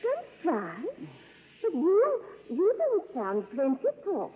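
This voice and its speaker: A woman's voice: extremely high pitch, wildly swooping pitch, takes it slowly, in a large hall, full character voice. A woman playing a genteel, kindly, easily flustered lady painter in a 1950s radio drama, speaking politely with exclamations of surprise. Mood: curious, surprised. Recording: tinny, band-limited old radio broadcast.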